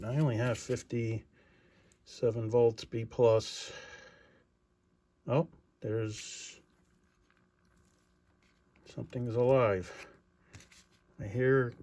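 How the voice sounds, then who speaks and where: A man's voice: medium pitch, dark tone. A man giving casual running commentary as he works on electronics, thinking aloud in short muttered observations. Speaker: hobbyist radio restorer. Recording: noticeable electrical hum and noise.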